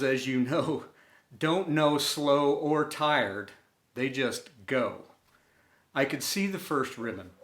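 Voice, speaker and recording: Male storyteller, mid-pitched, unhurried and deliberate, scripted inspirational narration, audible room echo. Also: fairly bright tone. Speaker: storyteller and host. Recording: roomy, echoing room sound.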